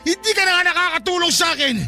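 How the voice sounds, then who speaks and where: High-strained, anguished, furious father. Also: quick pace, faint music behind, heavily acted. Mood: furious, accusing. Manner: anguished, shouting, accusatory delivery. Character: grieving, furious working-class father blaming his wife. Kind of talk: melodramatic radio drama acting.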